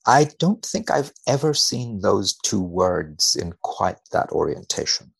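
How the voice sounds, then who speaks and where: A man who is a guest researcher on a podcast, reading and commenting on a study in a precise, measured, dry manner. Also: lowish voice, big pitch swings, vocal fry, slightly rough.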